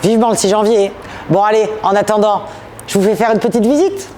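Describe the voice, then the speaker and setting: A woman's voice: mid-range voice, fairly even intonation, fairly quick, warm tone, slight background noise. A woman presenting a guided tour to viewers, explaining things directly as a local official. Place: on location at a new indoor swimming pool and outside it.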